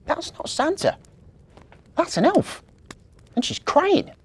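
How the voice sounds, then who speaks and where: A woman's voice: high-pitched, very animated, takes it slowly, pitched above the performer's own voice, exaggerated voice. A woman voicing an eager, caring little girl with an emphatic, urgent manner in a children's story. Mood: puzzled.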